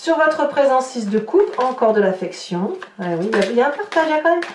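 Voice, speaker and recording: A woman giving an informal tarot reading to viewers, in a halting way with repeated words and little tag questions. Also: high-pitched, sing-song, a little mellow. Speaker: tarot reader presenting horoscope readings. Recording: roomy echo, distant mic, faint noise.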